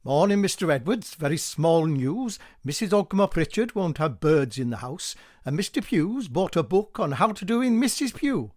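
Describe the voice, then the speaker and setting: A man's voice: on the high side, very animated, normal speed, few pauses, a little mellow, dry recording, clean studio sound, pitched above the performer's own voice. A man playing a gossipy village postman who passes news along with the letters. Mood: chatty.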